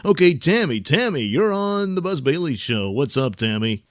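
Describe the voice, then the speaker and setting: A man's voice: a little high, big pitch swings, normal speed, a bit bright, no room sound. Guy doing a chipper radio call-in host, bouncy intonation, dry studio sound, parody show. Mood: patient, breezy.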